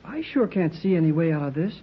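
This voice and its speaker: A woman's voice: deep voice, fast talker. A woman playing an eager, cheeky, slangy young boy in an old-time radio adventure serial. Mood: glum. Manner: exclamatory, slangy, excitable. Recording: dull, noisy old radio recording.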